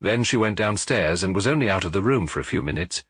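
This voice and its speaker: A man narrating a detective novel as an audiobook in a measured, expressive way, recorded close to the mic. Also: on the low side, breathy edge, no echo.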